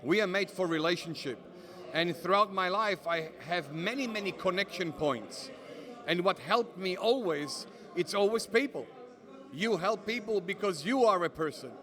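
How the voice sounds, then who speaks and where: A man's voice: high-pitched, moderate pace. A middle-aged man from Italy with an Italian accent, a pastor and author speaking earnestly as an interview guest, often answering with short agreements. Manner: steady and affirming, frequent short agreements.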